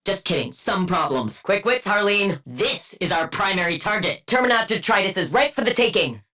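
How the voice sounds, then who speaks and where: A woman's voice: medium pitch, lively intonation, moderate pace, sharp tone. A woman playing a haughty, sardonic scientist supervillain in a video game, with clinical, precise diction and dry, condescending wit. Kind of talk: scripted game character voice lines.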